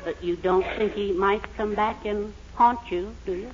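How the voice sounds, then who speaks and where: An actor playing a bumbling, henpecked middle-aged husband who stammers fussily in a 1940s radio comedy. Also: male voice, sits high, steady tone, moderate pace, crowd noise, close to an everyday voice. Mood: feigned innocence. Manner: stammering, fussy. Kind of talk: 1940s radio sitcom acting. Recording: noisy, muffled 1940s radio recording with audience.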